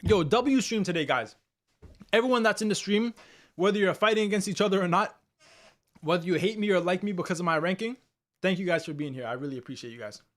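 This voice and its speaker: A man's voice: high-pitched, quick pace, a bit bright. A young man from Canada hosting a live stream, chatting casually and energetically with his viewers in slangy, repetitive talk. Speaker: livestream host.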